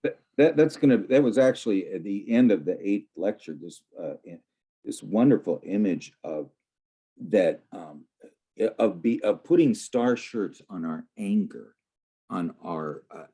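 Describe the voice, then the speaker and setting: A man in an online study group, talking earnestly but haltingly with many restarts over a video call. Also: lowish voice, mellow, husky. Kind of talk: casual group discussion.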